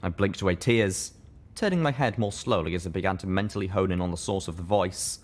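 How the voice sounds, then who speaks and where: A man with a deep, low voice narrates a story in the first person. He reads from a script in the manner of an audiobook. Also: fairly quick. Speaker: fan fiction reader, narrator.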